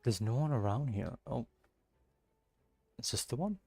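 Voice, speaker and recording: A man's voice: mid-range voice, animated, unhurried, creaky, mellow, husky, faint music behind. A man giving casual commentary as he streams a game, musing aloud and asking himself questions. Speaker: gaming streamer.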